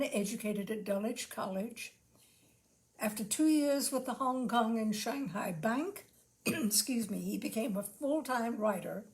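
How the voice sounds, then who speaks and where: A woman hosting a story reading, speaking and reading aloud in an expressive, deliberately phrased way. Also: on the high side, vocal fry, poor audio. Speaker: host and reader of a short-story reading show. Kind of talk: live literary reading aloud with informal introductions.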